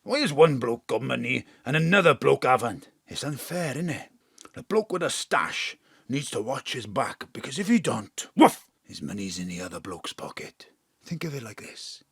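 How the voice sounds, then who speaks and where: A cynical Welsh crook and family man in an audio play. His husky voice swings freely up and down as he talks in a blustering, streetwise patter. The sound is close and dry. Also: medium pitch, sing-song, moderate pace, fairly bright tone, no room sound, exaggerated voice. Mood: streetwise, lecturing. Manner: colloquial, blustering, streetwise lecturing. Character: roguish thief father, cynical, selfish, proud of stealing. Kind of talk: audio drama acting.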